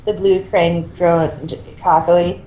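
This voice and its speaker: A woman's voice: lowish voice, little pitch movement, slow pace, mellow, dull audio. Lady reading fanfic aloud, casual amateur style, really echoey room, bit of hiss. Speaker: co-reader of fan fiction. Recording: echoey room with faint noise.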